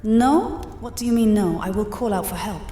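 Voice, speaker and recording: A woman playing an imperious, defensive high priestess in an audio drama, theatrical, in an echoing chamber with music. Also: expressive, moderate pace, dark tone, lightly acted. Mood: indignant. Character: haughty, indignant high priestess, a fake oracle.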